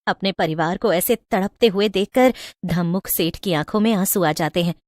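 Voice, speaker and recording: A woman's voice: lowish voice, moderate pace, darkish tone, no room sound, studio quality. A woman storyteller reading a scripted moral tale in a dramatic narrating style.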